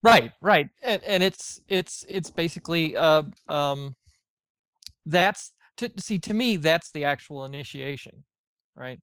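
A man in a casual debate, speaking haltingly and hesitantly as he questions a point. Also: a little high, lively intonation, fairly bright tone, clean tone, no room sound. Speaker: discussion participant.

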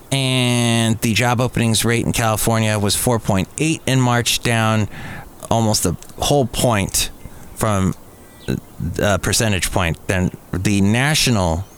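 A middle-aged American man hosting a podcast alone, talking casually in a rambling, jokey way with self-mocking asides. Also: medium pitch. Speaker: podcast host. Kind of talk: casual solo podcast monologue. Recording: clearly audible background noise.

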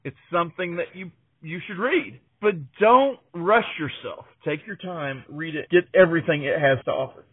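A man's voice: on the high side, moderate pace, husky, narrow, tinny audio. A man hosting a podcast, presenting in a conversational style. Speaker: podcast host.

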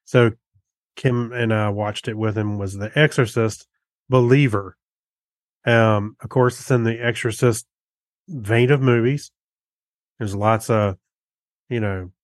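A man's voice: medium pitch, steady tone, slow pace, long pauses, no room sound. Male co-host, mid-range voice, informal and plain-spoken reviewer, dry recording. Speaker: podcast co-host. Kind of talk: casual chat, informal movie review.

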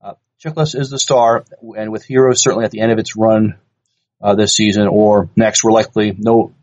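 A man co-hosting a podcast, casually reading news items aloud in a halting, stumbling way. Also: fairly low pitch, steady tone, darkish tone, highs cut off. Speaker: podcast co-host.

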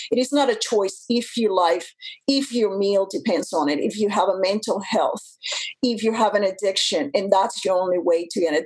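Interview guest: woman, early fifties, husky voice, earnest, halting, restarts a lot. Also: mid-range voice, a little mellow, poor audio. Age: 50s. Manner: earnest, many restarts and fillers. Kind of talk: interview talk.

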